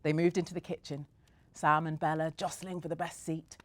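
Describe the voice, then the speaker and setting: A woman reading a story aloud in a gentle, measured way. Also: sits low, level tone. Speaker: story reader. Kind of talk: storybook narration.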